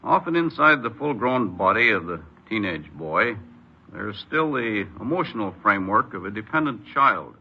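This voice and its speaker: A man gives a formal scripted commentary as an official. His voice is fairly low and noticeably husky. The recording has the muffled sound of old radio. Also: sing-song, normal speed. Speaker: corrections official giving commentary.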